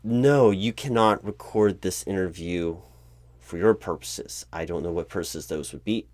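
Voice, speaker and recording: A man playing a polite, flustered, bureaucratic recruiting manager in a spoken interview roleplay, haltingly repeating himself and over-explaining. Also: low voice, a little mellow, no echo. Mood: formal, patient. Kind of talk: one-sided ASMR interview roleplay, spoken aloud without whispering.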